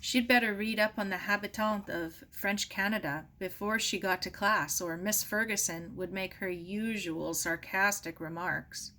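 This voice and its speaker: A woman reading a children's novel aloud as expressive scripted narration. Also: mid-range voice, a little slow, hardly any pauses. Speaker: audiobook reader.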